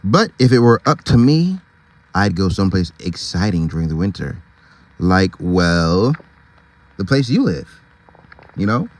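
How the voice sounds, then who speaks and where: A man's voice: low voice, sing-song, measured pace, long pauses, no echo, voice pushed down. A man voicing a restless, dreamy male donkey in a children's storybook, casual and yearning.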